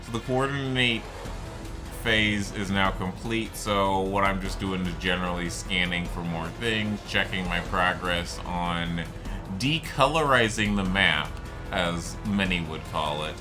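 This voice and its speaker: A man's voice: deep voice, unhurried, over music. A man giving unscripted game commentary, explaining things in a rambling way and circling back to restate his points. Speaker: gameplay video host.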